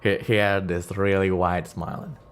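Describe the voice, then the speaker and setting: A man running a tabletop campaign as game master and streamer, improvising the story in a halting way and repeating words and phrases. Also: low-pitched, little pitch movement, a little hiss or hum.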